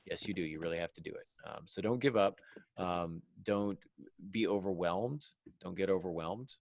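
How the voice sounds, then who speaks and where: A man hosting a casual podcast discussion, giving writing advice in halting phrases and repeating points for emphasis. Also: low-pitched, creaky, darkish tone, dry recording, dull audio. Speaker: podcast host and fiction writer.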